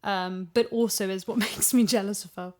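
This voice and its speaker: A woman speaks in a casual, conversational way. She is animated and wordy, working out her thoughts as she goes, like an actor talking about the craft. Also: medium pitch, moderate pace. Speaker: voice actor and podcast guest. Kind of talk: casual chat.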